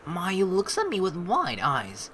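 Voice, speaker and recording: A woman's voice: on the low side, wildly swooping pitch, takes it slowly, a bit bright, a little hiss or hum. A young woman doing playful, slangy let's play commentary and reading game narration aloud.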